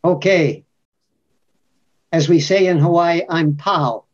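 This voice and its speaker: A man speaking as a panelist in a webinar, telling a story slowly and deliberately, often repeating his points. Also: on the high side. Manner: slow, deliberate storytelling with repetition. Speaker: panelist, reading scholar. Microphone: video call. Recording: muffled lo-fi webinar audio.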